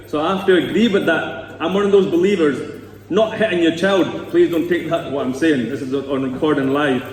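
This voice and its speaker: A man's voice: fairly high pitch, a little mellow, a little hiss or hum. A man in his thirties with a Scottish accent, giving an earnest, rambling personal talk to a congregation. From Scotland. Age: 30s. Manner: earnest, rambling, with asides to the audience. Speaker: speaker at a Sikh gurdwara. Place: large echoey gurdwara hall. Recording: echoey hall recorded from a distance.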